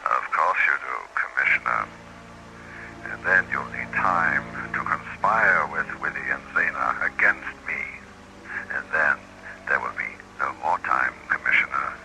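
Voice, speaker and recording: An actor playing a cold, vengeful alien villain in a science fiction radio drama, with grand theatrical menace. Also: male voice, extremely low pitch, gravelly, sharp tone, slight background noise, exaggerated voice, rough recording. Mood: menacing threat. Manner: slow, theatrical, threatening delivery.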